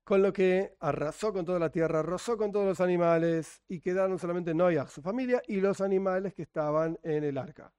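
A man's voice: high voice, a little fast, hardly any pauses, darkish tone, smooth. A middle-aged man from Buenos Aires with a Rioplatense accent, giving an informal Torah lesson full of rhetorical questions and sarcastic asides. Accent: Rioplatense Spanish. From Argentina, Buenos Aires. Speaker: Torah teacher.

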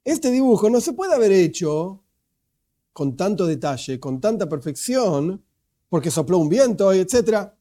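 A man's voice: sits high, big pitch swings, moderate pace, mellow. A man doing an amazed old king. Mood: astonished. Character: curious old king, male, demanding proof.

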